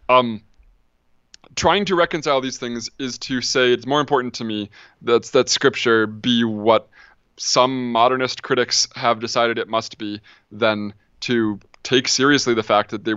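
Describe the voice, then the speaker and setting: Quick-talking man guest, dry dull audio. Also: mid-range voice, fairly bright tone, clean tone, dry recording. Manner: quick, thinking aloud with many ums and restarts. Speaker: podcast guest, ancient historian. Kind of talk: casual academic discussion.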